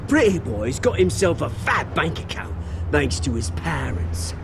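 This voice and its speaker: An actor playing a swaggering, taunting British crime boss in a video game, mocking and theatrical. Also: male voice, fairly high pitch, sing-song, a little slow, vocal fry, noisy background, exaggerated voice. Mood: smug. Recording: music under the voice.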